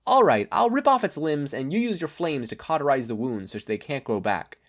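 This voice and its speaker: Man playing a brash, higher-pitched superheroine. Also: animated, brisk. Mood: confident, joking. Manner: folksy, breezy, quippy. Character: brash, upbeat adult American superheroine, confident and joking.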